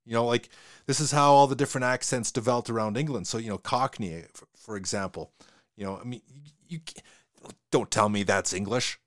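Man, Western Canadian accent, casual talk, quick and animated, dry recording. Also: medium pitch, dry recording. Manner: fast-talking, repeats words, animated. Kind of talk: casual conversation.

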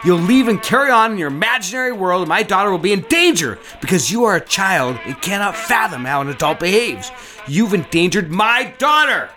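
Guy acting bossy contemptuous dad in audio drama, scolding and stern, kinda high voice, really dynamic intonation. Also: lively intonation, brisk, sharp tone, faint music behind. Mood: angry, scolding. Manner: commanding, scolding, condescending. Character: controlling, stern, contemptuous adult family patriarch.

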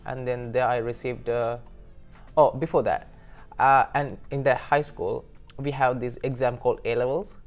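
Young Sri man in his twenties, earnest interview guest, mid pitch, soft background music. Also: steady tone, normal speed. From Sri Lanka. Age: 20s. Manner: earnest, agreeable, with many short yeses and some hesitant ums. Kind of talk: casual interview answers.

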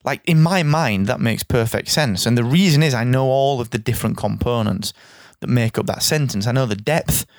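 A podcast host with a croaky, worn voice, talking fast and straight to his listeners. He repeats words when he gets going. The recording is close and dry, with no room sound. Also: medium pitch, no echo. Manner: energetic, chatty, repeats words. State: hoarse, croaky.

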